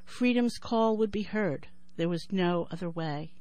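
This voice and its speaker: A woman in her sixties with a New York accent who talks thoughtfully with many pauses and then reads her poem in a measured rhythm. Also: lowish voice, darkish tone. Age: 60s. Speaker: poet and writer. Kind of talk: interview and poetry reading.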